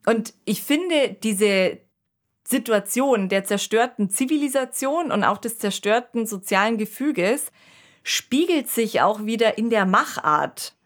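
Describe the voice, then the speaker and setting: A woman's voice: fairly high pitch, animated, a little slow. A woman co-hosting an analytical conversation, explaining thoughtfully and checking in with short tag questions. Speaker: podcast co-host.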